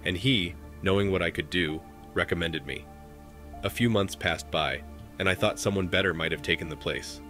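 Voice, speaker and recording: A man in his thirties hosting a commentary show, reading posts aloud in a steady, measured way. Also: sits low. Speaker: commentary host.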